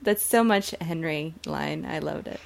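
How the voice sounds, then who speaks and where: A woman's voice: low voice, a little slow, gravelly. A woman co-hosting a podcast, talking casually about a television show. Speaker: podcast co-host. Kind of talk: casual TV episode discussion.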